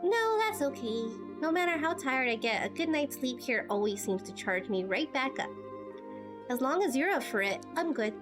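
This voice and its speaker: A woman doing amateur game voice acting as a spirited, earnest teenage girl adventurer who talks in a casual, expressive way. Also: high-pitched, normal speed, music in the background. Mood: friendly, casual. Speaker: amateur voice actor playing through a game.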